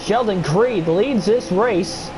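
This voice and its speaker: A young man calls a simulated stock car race like a broadcaster, his nose stuffy and his voice wearing thin. He gets excitable over the action and drops into chatty asides to his viewers. A steady hiss of background noise sits under his home mic. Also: sits high, slow pace. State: stuffy nose, voice getting worn. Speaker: streamer doing race commentary. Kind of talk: play-by-play sim racing commentary.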